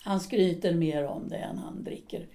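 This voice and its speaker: Woman voicing a resentful wife, cool and resigned, mellow darkish tone. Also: lowish voice, vocal fry, heavily acted. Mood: bitter. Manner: cool, flat and resigned, turning cutting. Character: bitter middle-aged wife, former actress, full of hatred. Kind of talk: audio drama acting.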